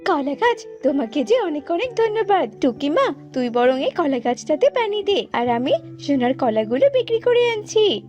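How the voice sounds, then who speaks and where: Motherly cartoon tailorbird, played by a woman: high, earnest, caring, slightly dull recording with music. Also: very animated, moderate pace, a little mellow. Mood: amazed. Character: kind motherly female tailorbird, caring and helpful. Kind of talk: children's cartoon voice acting.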